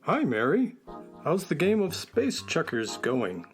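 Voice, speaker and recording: An actor playing a mild, dry sidekick in a children's radio drama. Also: male voice, on the high side, huge pitch swings, takes it slowly, soft background music, full character voice. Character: calm male sidekick, dry and reluctant.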